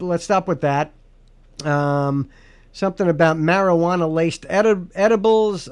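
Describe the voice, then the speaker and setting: A man with a high, smooth and resonant voice hosting a podcast. He rambles through loose, joking talk and keeps restarting his sentences. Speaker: podcast host. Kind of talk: casual comedic chat.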